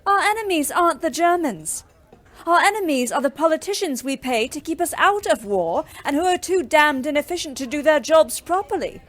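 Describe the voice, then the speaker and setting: An embittered young ambulance driver with a fairly high voice. She is outspoken and scathing, hammering her points home in a wartime audio drama. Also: lively intonation, moderate pace. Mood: angry, scathing. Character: fiery, bitter young British woman ambulance driver.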